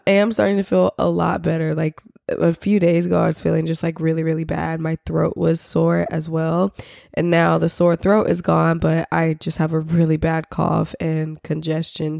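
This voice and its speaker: A young woman hosting a casual podcast while sick, her voice congested, nasal and raspy, chatting with lots of fillers. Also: lowish voice, dark tone, dry recording, studio quality. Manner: chatty, filler-heavy, with little laughs. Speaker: podcast host. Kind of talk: casual solo podcast chat.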